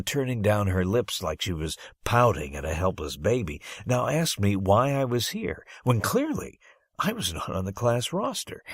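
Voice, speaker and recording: Animated, husky male audiobook narrator. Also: on the low side, no echo, clean studio sound. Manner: dramatic, storytelling phrasing with strong emphasis. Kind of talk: expressive scripted first-person story narration.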